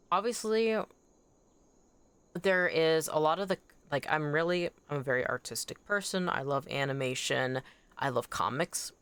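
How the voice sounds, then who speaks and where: Casting: livestream gamer, native Anishinaabemowin speaker, smooth clean tone, excitable and rambling, informal chat delivery. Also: medium pitch, no room sound. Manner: animated, excitable, rambling with self-corrections. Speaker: game streamer. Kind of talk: casual livestream chat while gaming.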